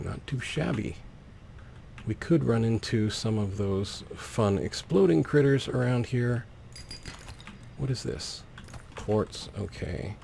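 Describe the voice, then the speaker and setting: A man's voice: mid-range voice, gravelly, warm tone, a little hiss or hum. A man giving let's play commentary on a video game, often narrating his own actions in short phrases. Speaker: gaming host. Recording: faint game ambience behind voice. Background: video game ambience.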